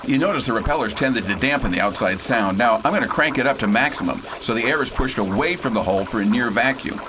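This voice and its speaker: An actor playing a folksy, bold starship pilot in a space opera radio drama, talking in breezy old-fashioned slang and giving orders. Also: male voice, animated, fast, lightly acted. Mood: brisk, commanding. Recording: clearly noisy, engine rumble behind.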